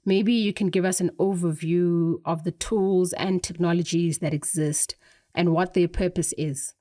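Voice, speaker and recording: A woman's voice: lowish voice, steady tone, a little slow, dark tone. A woman hosting a podcast, delivering scripted narration and interview questions. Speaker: podcast host.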